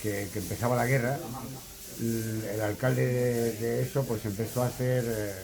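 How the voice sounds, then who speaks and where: A man giving spontaneous family testimony, haltingly, repeating words as he searches for them. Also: mid-range voice, level tone, crowd noise.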